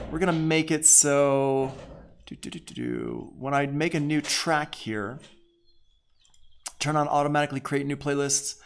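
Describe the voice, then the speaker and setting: A man's voice: mid-range voice, gravelly. A man teaching audio production in a casual live online tutorial, thinking aloud informally as he works.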